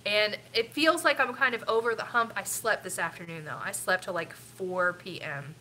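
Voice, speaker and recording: A woman's voice: mid-range voice, normal speed, bright, forceful tone, slight background noise, low-quality recording. A middle-aged woman with a stuffy, sniffly nose, rambling casually with lots of ums while hosting a family live stream. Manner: rambling, chatty, with frequent ums.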